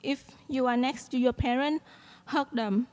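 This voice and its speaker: A young Vietnamese-accented woman speaks earnestly at a podium microphone and fills her pauses with soft "um"s. Her voice sits fairly high. It rings out with a long reverb through a large hall. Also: steady tone. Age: young adult. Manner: earnest, with frequent "um" fillers and light laughs. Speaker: student speaker at a graduation. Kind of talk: commencement speech.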